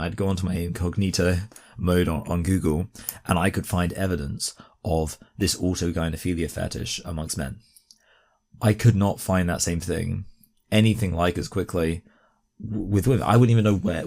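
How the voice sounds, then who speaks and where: A very low-pitched man hosts a commentary, pressing his points hard. His speech is full of "uh"s, "like"s and restarts. A slight cough sits in his voice. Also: darkish tone. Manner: emphatic, many fillers and restarts. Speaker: commentary host. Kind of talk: argumentative commentary on a video.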